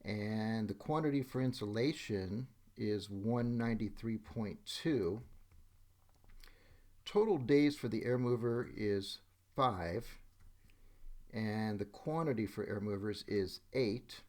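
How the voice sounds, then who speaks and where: A man's voice: fairly low pitch, takes it slowly, a little mellow. A man giving a step-by-step screen tutorial as a demonstrator, methodically announcing each action as he goes. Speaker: software demonstrator. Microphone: voice-over on a screen recording.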